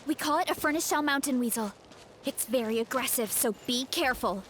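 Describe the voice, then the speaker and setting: A woman playing a gentle, earnest young warrior in a video game, with a sincere girlish manner. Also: high voice, fairly quick, very noisy, lightly acted. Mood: helpful. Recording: game music underneath.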